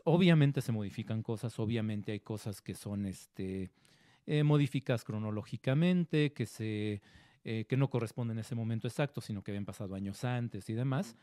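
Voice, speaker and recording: A Mexican man with a mellow, dark voice talks about cinema as a film critic. He tells stories with enthusiasm, full of "este" and "eh" fillers. The sound is dry and close, with no room echo. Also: mid-range voice. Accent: Mexican Spanish. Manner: enthusiastic storyteller, many fillers. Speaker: film critic, magazine editor. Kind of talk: casual film discussion.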